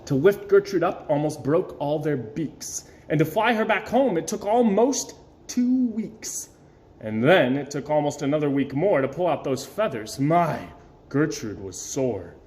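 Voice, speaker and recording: A man performs an expressive read-aloud for children. His delivery is theatrical and very animated in pitch, leaning on key words and pausing for effect. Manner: theatrical, dramatic emphasis and pauses. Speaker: storybook reader.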